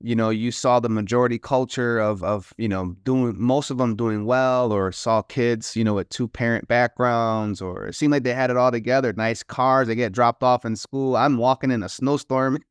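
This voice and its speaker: A middle-aged man from New York tells stories as a podcast guest in an unhurried, reflective way. He drops in Spanish phrases and leans on "you know." His voice is smooth and resonant, and it sounds close and dry. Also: mid-range voice, steady tone, dry recording. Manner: reflective storyteller who slips into Spanish, many "you know" fillers. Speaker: guest, author and consultant. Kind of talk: casual interview talk.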